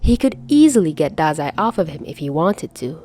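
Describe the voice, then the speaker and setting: A woman reading fan fiction aloud as an expressive amateur audiobook narrator. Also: low voice, a little fast.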